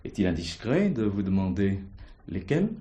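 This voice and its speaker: An actor playing a proud, aggrieved heir to a country estate, speaking formally and emphatically in a theatrical drama. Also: male voice, sits low, big pitch swings, normal speed, dark tone. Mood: probing. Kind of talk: French stage or TV play acting.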